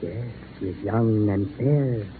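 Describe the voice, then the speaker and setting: Old, solemn mystic sorcerer. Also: male voice, on the low side. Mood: grave, obedient. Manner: formal, solemn, measured. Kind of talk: 1940s radio acting. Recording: noisy narrow-band 1940s radio recording.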